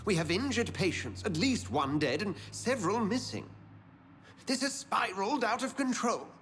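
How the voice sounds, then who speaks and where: A man's voice: on the high side, big pitch swings, normal speed, a bit bright, slight background noise. A man playing a genteel, earnest hospital administrator in a video game, weary, formal and theatrical. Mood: worried. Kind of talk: video game dramatic acting.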